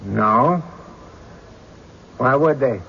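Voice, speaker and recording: A man playing an evasive, defensive rustic witness in a radio courtroom drama, with a folksy, halting, stammering delivery. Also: medium pitch, lively intonation, creaky, exaggerated voice. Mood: irritated, defensive. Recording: dull, noisy old radio recording.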